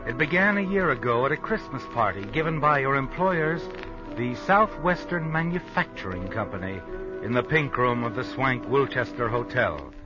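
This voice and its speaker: An actor playing an ironic, knowing narrator of a 1950s radio suspense drama, taunting and deliberate. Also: male voice, mid-range voice, lightly acted. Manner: taunting, deliberate, addresses the character as you. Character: ironic, knowing male narrator addressing a guilty woman. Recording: muffled old radio broadcast with music. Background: music.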